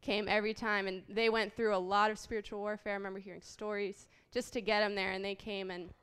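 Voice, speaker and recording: Very clear-voiced woman giving testimony. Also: mid-range voice, little pitch movement, fast, fairly bright tone. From Haiti. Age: late 20s. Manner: earnest, heartfelt storytelling with frequent ums. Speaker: guest speaker. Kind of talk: personal testimony talk.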